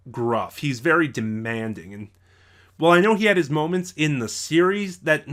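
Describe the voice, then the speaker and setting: A man's voice: medium pitch, a bit bright. A man reviewing audio dramas in unscripted commentary, thinking aloud and often rephrasing himself mid-sentence.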